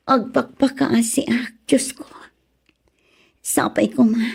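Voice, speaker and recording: A woman's voice: a little high, gravelly, warm tone, husky, heavily acted. Anguished Ilocano younger sister, pleading woman acting. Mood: tearful pleading. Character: anguished younger sister praying for her troubled family. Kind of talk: Ilocano radio drama acting.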